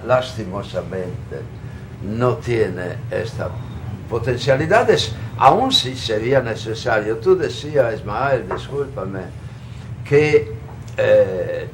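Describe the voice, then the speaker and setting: A man's voice: mid-range voice, slight background noise, poor audio. Older man, earnest activist guest. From Italy. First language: Italian. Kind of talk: studio TV interview. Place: local TV studio.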